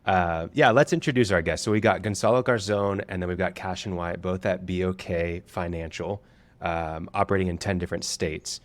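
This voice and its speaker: Deep-voiced podcast host telling stories. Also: male voice, fairly even intonation, normal speed. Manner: rambling anecdotes with laughs and fillers. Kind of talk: casual podcast storytelling.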